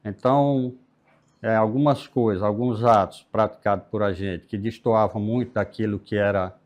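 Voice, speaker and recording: A man, talking as a guest. His voice sits fairly low, and he speaks in an unhurried, reflective way. His sentences often trail off softly. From Piauí, Brazil. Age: 60s. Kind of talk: casual interview.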